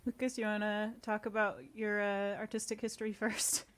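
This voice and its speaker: A woman speaks as an interview guest in a casual, informal way. Her voice sits at an average pitch for a woman, and her talk is conversational and unscripted. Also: level tone, moderate pace, clear, resonant tone. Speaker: interview guest, audio series co-creator. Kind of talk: casual chat.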